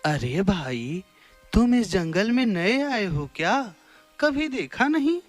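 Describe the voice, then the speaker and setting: A man's voice: high-pitched, big pitch swings, slow pace, faint music behind, full character voice. A man voicing a sly, falsely friendly crocodile in a children's cartoon, coaxing and theatrical. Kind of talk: children's cartoon story acting.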